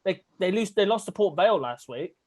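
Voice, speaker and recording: Young male host, slightly high pitch, rambling casual delivery, video-call chat. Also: expressive, brisk, a little mellow, breathy edge. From England. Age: young adult. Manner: rambling, chatty with laughs. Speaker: host of a fan podcast.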